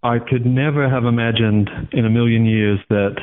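An Australian man in his thirties, a guest talking casually and quickly, with rambling asides. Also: mid-range voice, steady tone. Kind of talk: casual interview over the phone. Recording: tinny phone line.

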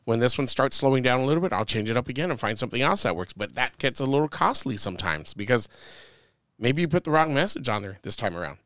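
A man's voice: mid-range voice, animated, fast, fairly bright tone, no room sound. A man co-hosting a podcast, giving casual marketing advice in a rambling way, full of fillers and sports analogies. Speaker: podcast co-host.

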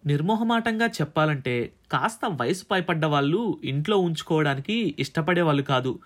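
A man voicing a calculating managing director in an audiobook, persuasive, ingratiating and businesslike. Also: on the high side. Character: smooth-talking, calculating male magazine managing director.

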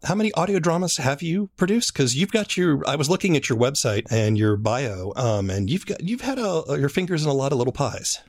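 A man's voice: on the high side, lively intonation, brisk, few pauses. A man hosting a podcast, presenting scripted introductions and interviewing a guest.

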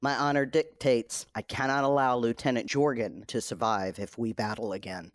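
A woman's voice: very deep voice, steady tone, moderate pace, no room sound, clean studio sound. A woman playing a stern, honor-bound warrior in an audio drama, speaking formally and solemnly. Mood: stern, resolute.

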